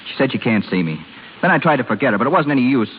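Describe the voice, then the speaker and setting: Jealous young husband male actor, brooding, rapid-fire delivery, vintage thin-sounding radio play. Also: mid-range voice, animated, close to an everyday voice. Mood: bitter, wounded. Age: young adult. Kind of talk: 1930s-40s radio drama acting. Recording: old tinny radio broadcast with hiss.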